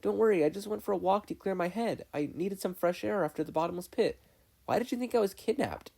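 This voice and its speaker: A man's voice: high-pitched, quick pace, vocal fry, a little mellow, pitched above the performer's own voice. A man voicing an anxious young boy who is pleading and full of self-blame, in a story reading. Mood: worried.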